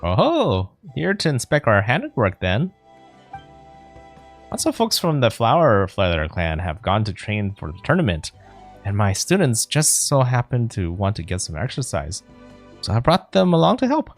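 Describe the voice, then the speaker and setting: A man's voice: fairly low pitch, huge pitch swings, moderate pace, soft background music, exaggerated voice. A man voicing a confident, easygoing athletic coach while reading game dialogue aloud, breezy and good-natured. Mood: businesslike, upbeat.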